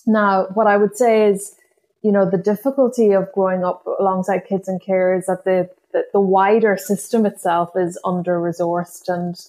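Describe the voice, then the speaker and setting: Irish woman, native Irish speaker, poet guest; reflective, halting delivery with frequent restarts. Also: medium pitch, level tone, darkish tone. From Ireland. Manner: thoughtful, hesitant, many restarts and fillers. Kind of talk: reflective interview conversation.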